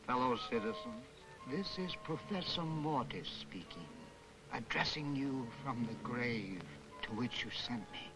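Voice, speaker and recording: An actor playing a soft-spoken, menacing professor of crime in a 1940s film serial, formal, measured and sardonic. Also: male voice, mid-range voice, lively intonation, lightly acted, low-quality recording. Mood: ominous. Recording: old 1940s film soundtrack, music behind.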